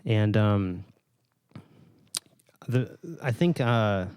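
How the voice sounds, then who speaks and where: A man's voice: on the low side, extremely slow, darkish tone, clean tone, no room sound. A man hosting a podcast in casual interview chat, phrasing his thoughts hesitantly and encouragingly. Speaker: podcast host.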